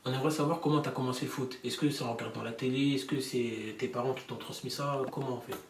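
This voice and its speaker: A man's voice: mid-range voice, steady tone, fast, a little hiss or hum. A man hosting a casual interview, asking simple follow-up questions and often chiming in briefly. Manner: frequent short backchannels and follow-up questions. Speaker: interviewer, host. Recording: echoey room with music behind.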